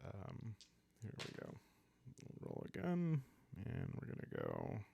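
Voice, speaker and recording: A man calmly narrating a solo role-playing game in a hushed, relaxing way, musing aloud as he goes. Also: medium pitch, slow pace, gravelly, husky, no room sound.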